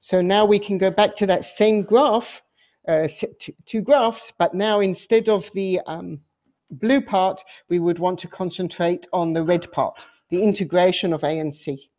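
A woman professor giving an academic lecture, explaining slides in a halting way and often rephrasing mid-sentence. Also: on the low side, a little mellow. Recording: dull, muffled lo-fi audio.

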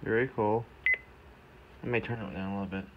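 Male home vlogger, lowish voice, halting and offhand, talking through a hands-on demo amid loud noise, clicks and beeps. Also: steady tone, narrow, tinny audio. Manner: halting, thinking aloud. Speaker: vlogger showing a gadget. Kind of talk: casual hands-on demonstration, muttering to self. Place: indoors in a cluttered home room. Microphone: handheld phone or camera held close to device. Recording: noisy handheld home recording with clicks and beeps. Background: loud noise, button clicks and device beeps.

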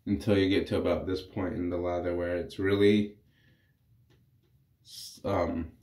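A man's voice: low voice, a little slow. A man in his thirties, congested from a cold, casually explaining his shave to the camera in a small bathroom. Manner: relaxed, rambling, explains while shaving. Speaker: wet-shaving reviewer. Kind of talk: casual vlog commentary. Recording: echoey bathroom.

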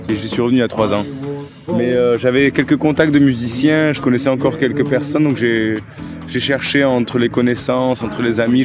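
Thirties man, relaxed halting musician interviewed outside. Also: mid-range voice. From Toulouse, France. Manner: relaxed, many hesitations. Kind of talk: casual interview. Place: outdoors against an old wall. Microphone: handheld mic held by interviewer.

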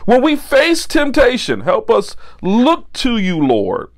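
A man preaching and praying as a pastor, earnest and deliberate in his phrasing. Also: very high voice, wildly swooping pitch. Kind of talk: sermon and spoken prayer.

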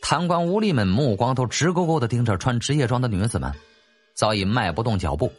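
一位男演播者用戏剧化又略带调侃的口吻讲述历史小说有声书。. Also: male voice, medium pitch, animated, brisk. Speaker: audiobook narrator. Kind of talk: dramatic storytelling narration of a historical novel. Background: faint background music.

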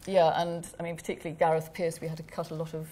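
A woman's voice: sits low, flat delivery, quick pace, warm tone, a little hiss or hum. A woman film director answering questions on a panel, hesitant, with many fillers, in a large gallery room.

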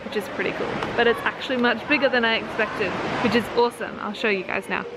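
A woman's voice: fairly high pitch, fairly even intonation, fast, very noisy. A young woman chatting casually into a handheld camera while hiking a coastal trail, a little out of breath. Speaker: travel vlogger. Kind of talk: casual travel vlog. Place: outdoors on a coastal bush trail. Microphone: handheld camera at arm's length.

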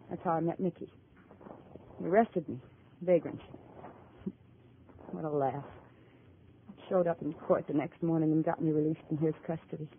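A woman's voice: on the low side, natural voice. A woman playing a tough, guarded American widow with a shady past in a 1950s radio crime drama. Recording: muffled, noisy old radio broadcast.

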